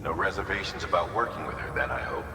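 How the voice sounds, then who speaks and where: An actor playing a formal, probing official who is calmly in charge, in a video game cutscene. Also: male voice, sits low, quick pace, natural voice. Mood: probing, measured. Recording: echoey hall, distant, noisy vehicle ambience.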